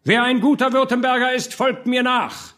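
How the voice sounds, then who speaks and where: A man's voice: extremely high pitch, fairly even intonation, normal speed, sharp tone, pitched above the performer's own voice. A man voicing a strong, decisive burgher leader rallying townsmen in a storybook reading. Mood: commanding. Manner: decisive, commanding.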